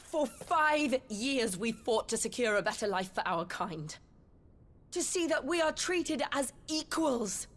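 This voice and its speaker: A woman's voice: on the high side, animated, a little slow, a little hiss or hum. An actress playing an earnest, idealistic woman outlaw in a video game drama. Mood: pleading, earnest.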